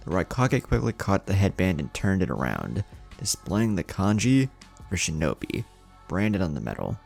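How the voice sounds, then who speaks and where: A man's voice: low-pitched, sing-song, moderate pace, gravelly, darkish tone. A man reading a scripted fan-fiction story as its narrator, with music behind the voice. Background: background music.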